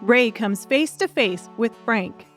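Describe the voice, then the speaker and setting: A woman's voice: fairly high pitch, animated, slow pace, soft background music. A woman reading scripted audiobook narration as the storyteller.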